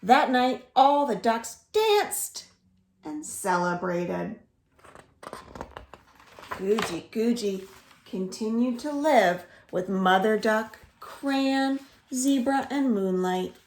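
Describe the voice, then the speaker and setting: A woman reading a children's story aloud in an expressive, deliberate way, as a storyteller for young children. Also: a little high, slight background noise. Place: indoors at home. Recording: roomy echo of a home room.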